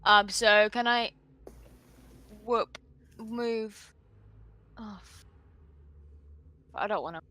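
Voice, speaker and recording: A woman's voice: medium pitch, extremely slow, long pauses, pushed and bright, no room sound. A woman playing in a tabletop game, flustered as she works out the controls. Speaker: player. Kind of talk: casual game chat.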